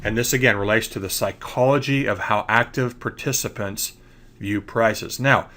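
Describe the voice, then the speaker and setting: A man's voice: fairly low pitch, moderate pace, bright, forceful tone, a little hiss or hum. A man with a congested voice from allergies, explaining trading ideas over slides and often checking in with "okay?". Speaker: trading educator. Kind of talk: screen-recorded slide lecture.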